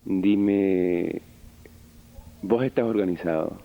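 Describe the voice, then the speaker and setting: A man conducting an old radio interview in a deliberate way, pausing as he asks. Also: low-pitched, narrow, tinny audio. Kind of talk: 1980s guerrilla radio interview.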